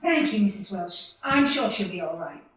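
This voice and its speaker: A woman playing a gentle, worried wife and mother in a 1940s-style radio play, heard in a very echoey room. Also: mid-range voice, lively intonation, moderate pace, heavy background noise, close to an everyday voice. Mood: soothing, uneasy. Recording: very echoey room, distant from microphone.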